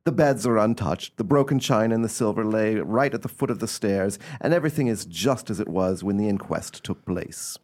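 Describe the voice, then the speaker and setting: A man's voice: lowish voice, brisk, hardly any pauses, darkish tone, close to an everyday voice. A man playing an exasperated, haughty lord in a radio play, formal and dismissive.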